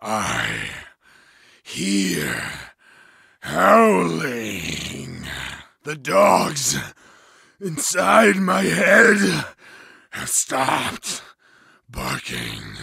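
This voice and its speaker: A man voicing a savage, bloodthirsty berserker warrior in a video game, bellowing battle cries and growled threats in a gravelly voice. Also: fairly high pitch, sharp tone, no room sound, clean studio sound, full character voice. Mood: grim. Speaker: game character voice. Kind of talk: video game combat voice lines. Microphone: close studio mic.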